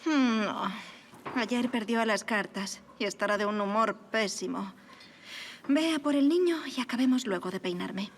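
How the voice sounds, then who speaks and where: A woman's voice: fairly high pitch, moderate pace, a little hiss or hum, natural voice. A woman acting in an audio drama as a gracious, witty young aristocratic lady, poised and formally polite. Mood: brisk.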